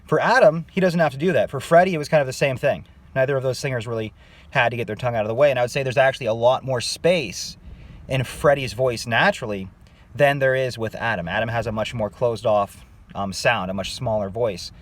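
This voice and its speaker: A man's voice: medium pitch, a little fast. Chatty man teaching singing. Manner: conversational, addresses viewers as "guys", frequent "okay?" check-ins. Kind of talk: casual online singing lesson.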